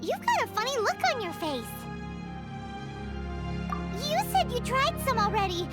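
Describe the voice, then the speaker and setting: A woman voicing a tiny, perky, blunt fairy companion in a video game, with a squeaky childlike voice. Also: big pitch swings, unhurried, full character voice. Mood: curious, teasing. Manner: speaks of herself in third person. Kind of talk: animated video game acting. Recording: music behind the voice.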